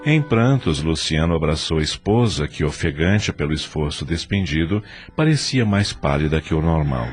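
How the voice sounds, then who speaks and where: Male narrator, Brazilian accent, deep register, dramatic scripted read over light background music. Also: expressive, normal speed, few pauses. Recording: music under the voice.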